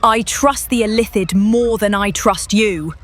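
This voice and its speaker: An actress playing a wary, self-assured female adventurer in a video game, speaking in a measured, dry, questioning way. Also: medium pitch, close to an everyday voice. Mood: distrustful.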